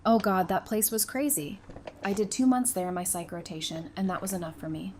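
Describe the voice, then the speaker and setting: A woman's voice: medium pitch, fairly even intonation, brisk, a little hiss or hum, lightly acted. A woman playing Talissa, a former psychiatrist who talks in dry, teasing banter in a fan audio drama.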